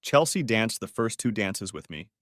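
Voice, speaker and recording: A man's voice: fairly low pitch, normal speed, dry recording, clean studio sound. A man narrating a first-person story in scripted storytelling style. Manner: expressive storytelling.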